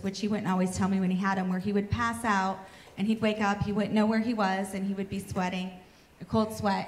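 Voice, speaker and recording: A woman, the pastor's wife, telling briskly and with some teasing how her husband ended up in the hospital. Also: medium pitch. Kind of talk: casual chat. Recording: echoey room with faint noise.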